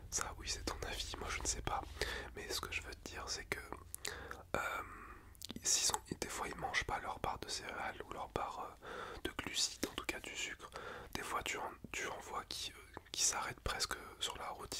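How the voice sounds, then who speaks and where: A man whispering softly as a school nurse in an ASMR roleplay, gentle and reassuring, chatting at length in a relaxed, rambling way. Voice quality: soft unvoiced whisper.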